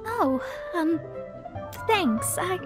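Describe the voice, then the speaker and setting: A haughty young female astrologist from a video game, with a very high voice. She speaks dramatically and flusters easily into hesitations. Faint music plays behind her. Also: very animated, drawn-out words, full character voice. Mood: awkward.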